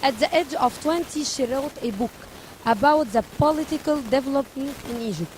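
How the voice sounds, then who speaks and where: A woman's voice: sits high, moderate pace, darkish tone, slight background noise, rough recording. A woman hosting an interview, giving a prepared introduction and asking questions. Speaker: interviewer, host.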